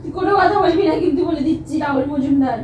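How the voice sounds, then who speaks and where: High female voice, young, agitated, scolding, repeating herself, echoey room, faint noise. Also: very fast. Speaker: wife in a family prank video. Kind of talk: heated domestic argument. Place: indoor room at home.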